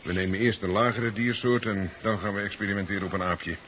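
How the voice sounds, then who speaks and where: Actor as a low-voiced, sardonic radio-play professor. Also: male voice, fairly even intonation, quick pace, a bit bright, natural voice. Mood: absorbed. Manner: wry, chuckling, ends remarks with questioning tags. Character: brisk, sardonic male professor in his sea-side laboratory. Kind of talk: 1970s science fiction radio play acting. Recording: dull, noisy 1970s radio recording.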